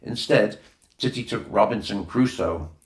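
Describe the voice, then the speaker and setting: A man's voice: on the low side, measured pace. A man reading a children's adventure novel aloud as scripted narration, with a roomy echo on the recording. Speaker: audiobook reader.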